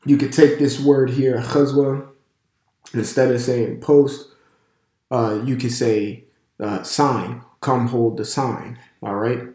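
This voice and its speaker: A man's voice: mid-range voice, steady tone, takes it slowly, warm tone. A man with a stuffy nose from a cold, giving a casual video lesson as a religious language teacher, talking loosely with many fillers and restarts. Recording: echoey room.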